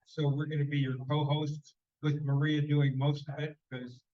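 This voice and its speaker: A man's voice: fairly high pitch, little pitch movement, lo-fi sound. Man hosting a neighborhood meeting. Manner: halting, many fillers. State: sneezing, slightly congested. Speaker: meeting co-host. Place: indoor senior center hall.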